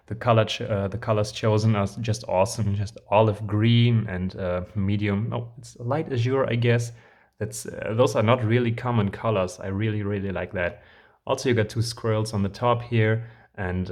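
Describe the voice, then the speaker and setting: A man with a somewhat low voice, still hoarse from a recent illness. He talks through a casual review, stopping often on fillers and restarting his thoughts. He stays keen throughout. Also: a little mellow. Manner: hesitant, many ums, enthusiastic. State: hoarse, recovering lost voice. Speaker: channel host reviewing building sets. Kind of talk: casual product review vlog.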